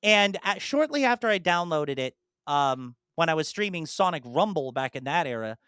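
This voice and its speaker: A man talks through a casual podcast chat, voice bright and smooth. He rambles with plenty of ums and restarts and slips into animated, mock-fed-up asides. It sounds dry and close, with no room behind it. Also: fairly high pitch, moderate pace, dry recording. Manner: rambling, many fillers and restarts. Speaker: podcast co-host and streamer.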